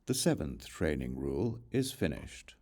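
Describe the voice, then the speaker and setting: A man narrating a religious text as an audiobook in a measured, formal, deliberate manner. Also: rumbling low, very animated, darkish tone.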